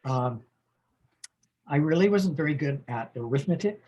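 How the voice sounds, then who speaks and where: A man's voice: on the high side, lively intonation, moderate pace, breathy edge, lo-fi sound. A man in his sixties, a podcast guest from the United States, telling long rambling stories about his schooling in a casual chat. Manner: rambling, warm storytelling with laughs and restarts. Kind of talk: casual interview conversation.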